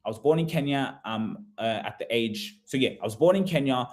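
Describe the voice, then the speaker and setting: A man's voice: mid-range voice, brisk, darkish tone. A man presenting an informal live webinar, conversational and repetitive, often putting rhetorical questions to listeners. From Australia. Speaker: webinar host, property investment advisor.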